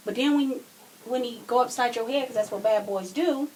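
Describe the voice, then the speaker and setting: A woman's voice: a little high, normal speed, darkish tone, rough recording. A woman giving her opinions casually as a podcast guest, on a hissy home recording.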